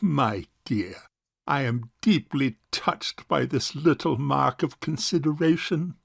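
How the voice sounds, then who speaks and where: Pompous, gravelly bachelor uncle. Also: male voice, medium pitch, dry recording, studio quality, heavily acted. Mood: courtly. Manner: pompous, formal, deliberate. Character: suave, sarcastic forty-two-year-old rich bachelor uncle. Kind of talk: stage farce audio drama acting.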